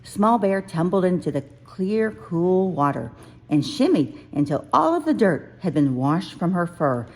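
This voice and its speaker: Slow-reading woman storyteller, slightly low. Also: big pitch swings. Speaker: children's book reader. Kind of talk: scripted storybook narration.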